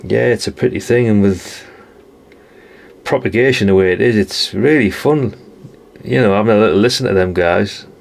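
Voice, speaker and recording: A man's voice: on the low side, gravelly. A British man in his fifties chatting casually to viewers in a musing, rambling way, often tagging questions onto his remarks and correcting himself. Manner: musing, unhurried, frequent tag questions and self-corrections. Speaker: radio hobbyist presenting a video. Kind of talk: casual rambling vlog chat.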